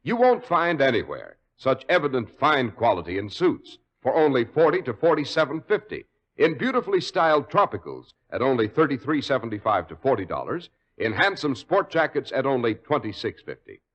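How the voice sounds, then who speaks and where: A male old-time radio announcer rolling out smooth sales patter, his voice rising and falling with plenty of lift. He sits at a mid-range pitch and keeps the pitch moving. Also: very animated, brisk, a bit bright.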